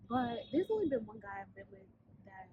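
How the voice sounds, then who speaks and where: A woman, chatting bluntly and animatedly in a casual vlog, a little raspy from sinus trouble, filmed outdoors on a city street by a camera on a tripod. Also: fairly high pitch, fairly quick. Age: 20s. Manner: blunt, animated, swears freely. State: sinus congestion, slightly raspy. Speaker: vlogger. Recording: dull street recording with city noise.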